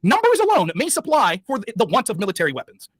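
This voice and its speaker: A man in an online debate pressing his case and reading old court text at a very fast clip. His voice is strikingly high and lively, and the recording is dry. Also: husky, no echo. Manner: insistent, reads legal text quickly. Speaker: debate participant, show host.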